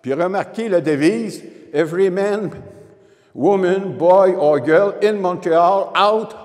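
A man's voice: sits high, takes it slowly, a little mellow. Older Québécois man lecturing in a reverberant hall. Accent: Quebec French. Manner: explanatory, addresses the audience directly. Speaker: historian giving a public lecture. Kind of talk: informal history lecture with slides. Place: indoor lecture room with wood panelling. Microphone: handheld microphone.

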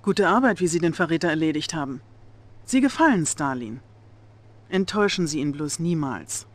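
A woman's voice: deep voice, animated, moderate pace, a little hiss or hum. A young Soviet intelligence officer for a game cutscene. She sounds cool and stern, with a controlled, authoritative delivery. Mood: controlled, commanding. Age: young adult. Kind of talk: video game cutscene acting.

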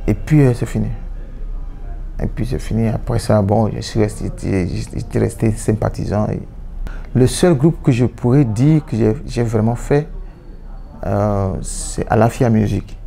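A man's voice: on the low side, measured pace, creaky, warm tone, soft background music. A man in his thirties whose first language is Yoruba, giving reflective interview answers indoors, often restarting and repeating words. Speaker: musician. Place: indoor room, seated on a sofa.

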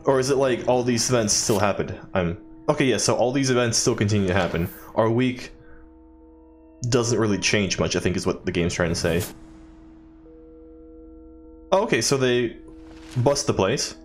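A man's voice: lowish voice, brisk, faint music behind. A young man giving casual, joking let's play commentary as a gaming YouTuber. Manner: wry, joking.

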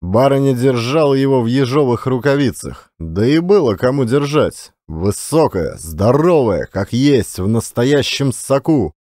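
Male storyteller for classic fiction, mid-pitched, highly varied intonation, dry studio-like sound. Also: animated, unhurried, hardly any pauses, a little mellow, dry recording. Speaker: audiobook narrator. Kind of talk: expressive literary storytelling.

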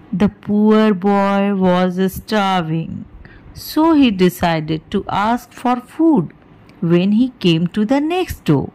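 Very slow, mellow woman storyteller. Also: medium pitch, low-quality recording. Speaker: children's storyteller. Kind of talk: scripted moral story narration for children.

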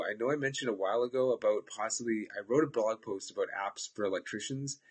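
A man's voice: lowish voice, fairly bright tone. A man in his forties with a stuffed-up cold voice, enthusiastically explaining apps for electricians in a casual webcam talk from his home office. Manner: upbeat, enthusiastic, chatty. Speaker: electrical instructor. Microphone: laptop webcam at desk.

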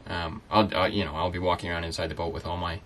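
A man's voice: sits low, level tone, fast, fairly bright tone. A young man who lives on a sailboat, chatting casually and explaining things easily with plenty of fillers, inside a small boat cabin. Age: young adult. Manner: easygoing, explanatory, many fillers. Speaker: sailboat-living vlogger. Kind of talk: casual chat.

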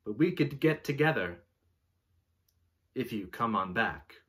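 A man in his twenties recites verse as if it were grand poetry, with theatrical pomp. His delivery is slow and deliberate, with wide swings in pitch and a bright, forceful tone. He is recorded in a bedroom. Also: expressive. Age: 20s. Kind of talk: mock-dramatic poetry reading. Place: small bedroom, indoors.

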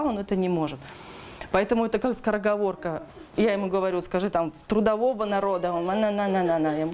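Fast-talking woman interviewed amid room noise. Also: medium pitch, a little mellow. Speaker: interviewee, animation artist. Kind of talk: spontaneous interview explanation. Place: small room at home. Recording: clear ambient room noise.

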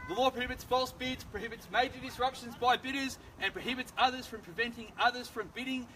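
An Australian man in his thirties working as an auctioneer, running a live property auction with brisk, persuasive sales patter on a suburban street. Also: very high voice. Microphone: far camera, no microphone. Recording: distant outdoor camera audio, loud street noise.

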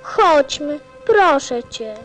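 A woman playing a gentle, earnest little boy prince in a radio play, with a simple, solemn, childlike manner. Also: high voice, expressive, drawn-out words, full character voice, low-quality recording. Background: music.

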